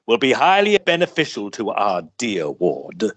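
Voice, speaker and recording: A man's voice: on the low side, wildly swooping pitch, normal speed, fairly bright tone. A man playing a confident, wicked Victorian guardian with an insinuating, theatrical manner in old-style radio melodrama. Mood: smug, scheming.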